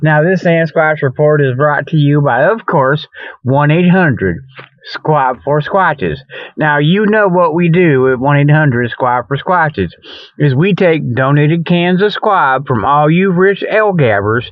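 A man hosting a comic radio report, rambling through a mock pitch in a folksy, colloquial way full of okays and you-knows. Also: lively intonation, measured pace, no echo. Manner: folksy, colloquial, frequent okay and you know. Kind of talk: rambling humorous monologue, mock charity pitch. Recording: dull lo-fi recording.

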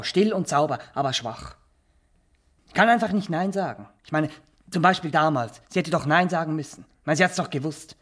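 Nervous, rambling Swiss barber. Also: male voice, on the high side, fairly bright tone. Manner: rambling, halting, repeats himself, keeps adding tag questions. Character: small-town barber, nervous, self-justifying, boastful executioner applicant. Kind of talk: naturalistic radio drama acting.

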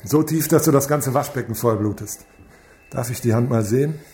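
A man's voice: medium pitch, normal speed, a little mellow, close to an everyday voice. Male actor as police detective, mid-range voice, probing, commanding, radio crime drama, faint ambient noise. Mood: pressing.

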